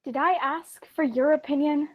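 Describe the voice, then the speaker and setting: A woman acts out a self-important actress with a very high voice. She is temperamental and indignant, stressing her grievances in theatrical comic style. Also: steady tone, normal speed, narrow, tinny audio, close to an everyday voice. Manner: indignant, emphatic. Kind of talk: theatrical stage comedy acting.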